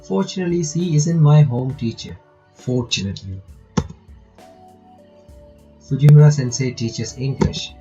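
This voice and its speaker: A man giving casual playthrough commentary and reading game text aloud, halting and often repeating his words. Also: medium pitch, warm tone, dull audio. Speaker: gaming reaction host.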